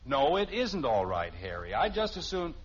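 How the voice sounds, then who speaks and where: A man's voice: medium pitch, wildly swooping pitch, moderate pace, a little hiss or hum. An actor playing a weary, sarcastic middle-aged suburban father in a 1950s radio sitcom, sputtering when flustered, on a muffled old recording.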